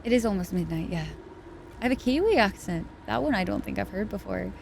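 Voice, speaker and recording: A woman streams a game late at night and chats casually with her viewers in a tired, easygoing way. Her voice sits at a middle pitch, and there is a faint hiss of noise behind it. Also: expressive, a little fast, gravelly, a little mellow. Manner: chatty, thanks viewers and reads chat aloud. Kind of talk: casual chat while gaming live.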